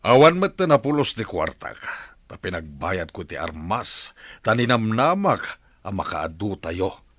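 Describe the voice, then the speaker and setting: A man's voice: on the low side, very animated, unhurried, fairly bright tone, dry recording, exaggerated voice. A man acting in an Ilocano radio drama as a hot-tempered, crooked village husband who grumbles and curses in exasperation. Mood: bitter, frustrated.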